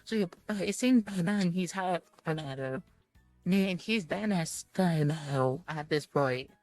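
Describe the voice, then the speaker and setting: A woman giving let's-play commentary and reading game text aloud in a halting, rambling way. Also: fairly low pitch, animated, darkish tone. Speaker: streamer playing a visual novel. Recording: music playing behind the voice. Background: background music.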